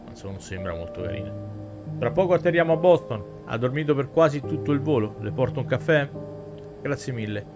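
Guy streamer reading a story game out loud, kinda theatrical, music playing behind him. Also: medium pitch, expressive, brisk. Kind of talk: dramatic reading aloud of a visual novel with asides to chat.

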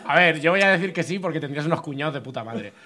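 A man's voice: on the high side, expressive. A young man trading jokes on a comedy podcast panel, talking into a handheld mic on a small bar stage. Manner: jokey, quick cracks and laughter. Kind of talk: casual comedic banter. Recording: crowd noise behind. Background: audience crowd.

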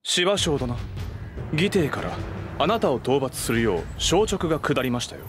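An actor playing a haughty, sardonic young officer in a video game, politely condescending. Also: male voice, on the high side, expressive, normal speed, lightly acted. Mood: smug, teasing. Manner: smug, polite but condescending. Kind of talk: dramatic Japanese video game cutscene acting. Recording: studio voice over loud game music.

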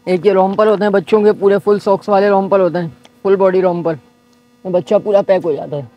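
A man, a shop owner calmly showing baby clothes in his market shop and quoting prices item by item. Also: high voice, fairly quick, dull audio. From Pakistan. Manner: patient, listing items one by one. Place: busy market shop indoors.

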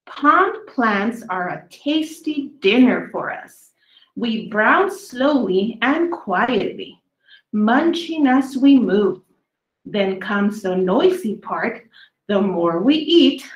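A woman with a fairly high voice reads a children's picture book aloud. She takes it very slowly, stretching words out for young listeners. The recording is clearly echoey, as in a small bare room. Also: very animated. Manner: slow, deliberate delivery with drawn-out words for young listeners. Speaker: children's story reader. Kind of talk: read-aloud of a children's dinosaur poem book.

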